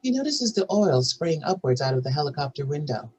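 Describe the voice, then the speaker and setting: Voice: medium pitch, sing-song, fairly quick, a little mellow, breathy edge. A narrator reading out stage directions and scene headings in a scripted table read.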